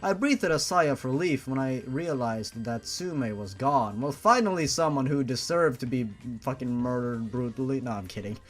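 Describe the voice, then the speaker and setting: A man's voice: medium pitch, lively intonation, moderate pace, few pauses, faint music behind. A man reading a fan story aloud and breaking in with sarcastic, profane reactions. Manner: sarcastic and reactive, swears freely. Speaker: story reader.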